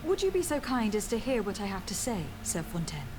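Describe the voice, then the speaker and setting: A woman's voice: mid-range voice, big pitch swings, a little fast, natural voice. An actress playing a composed, principled noblewoman legate in a video game, speaking with formal, firm courtesy. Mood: courteous. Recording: clear background noise from game ambience.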